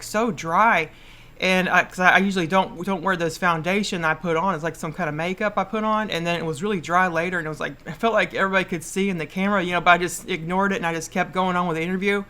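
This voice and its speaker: A woman's voice: fairly low pitch, bright, forceful tone. A woman in her late thirties hosting a podcast solo, casually giving advice in a rambling, repetitive way and stumbling over words. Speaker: podcast host.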